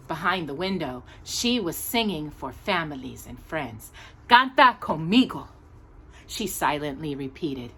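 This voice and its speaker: A woman with a husky edge to her voice reads a children's story aloud. Her pitch moves up and down in a lively way, and she leans on key words with pauses, as a storytime host does. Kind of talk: expressive children's picture book read-aloud.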